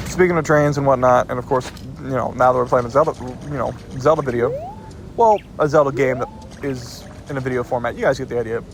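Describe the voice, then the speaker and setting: A man's voice: medium pitch, quick pace, a little hiss or hum. A man giving casual let's-play commentary, a little stuffed up, rambling and often correcting himself mid-sentence. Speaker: gameplay commentator.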